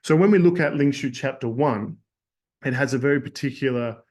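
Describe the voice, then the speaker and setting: A hoarse man giving a webinar lecture on acupuncture, thoughtful and full of fillers and restarts. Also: mid-range voice, a little fast, darkish tone.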